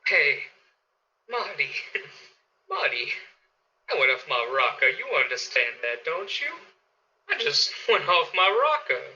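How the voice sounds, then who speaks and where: A man's voice: fairly high pitch, very animated, takes it slowly, long pauses, bright, forceful tone, exaggerated voice. A man playing a hot-headed suburban neighbor in a panicked radio drama. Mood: sheepish, nervous. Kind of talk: radio play acting. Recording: dull lo-fi audio.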